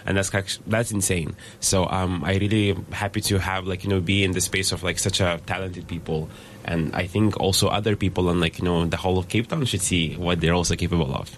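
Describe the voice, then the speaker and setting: A young man from Lithuania, a guest in a casual radio interview, talking in loose animated phrases with many fillers. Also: sits low, brisk, a little hiss or hum. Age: young adult.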